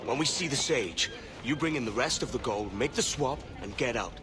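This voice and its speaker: A man playing a bold young pirate captain who talks in a casual, blunt way, in a game cutscene with loud music. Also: mid-range voice, animated, normal speed, close to an everyday voice. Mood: scheming.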